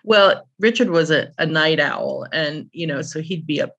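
A woman's voice: low voice, normal speed, a bit bright, lo-fi sound, a little hiss or hum. A middle-aged or older woman speaking as an interview guest, reminiscing in an anecdotal, conversational way. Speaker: interview guest, author and publisher.

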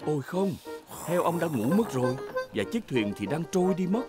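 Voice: mid-range voice, very animated, a little fast, music in the background, natural voice. A performer narrating a dubbed preschool cartoon in a simple storybook style. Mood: storytelling.

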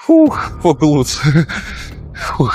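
A man's voice: fairly high pitch, wildly swooping pitch, extremely slow, a little mellow, slight background noise. A young man posing as a cleaner in a gym, apologizing and giggling constantly in broken English. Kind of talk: hidden-camera prank. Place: large indoor gym. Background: music.

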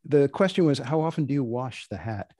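Male panel moderator, deliberate and deadpan, husky tone, close dry sound. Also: medium pitch, no room sound. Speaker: host. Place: indoor room, video call.

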